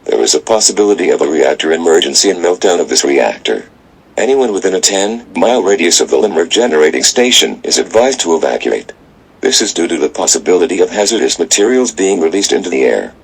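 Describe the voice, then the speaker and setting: A man's voice: sits low, very animated, fast, gravelly, husky, low-quality recording. A man reading out a scripted civil emergency announcement.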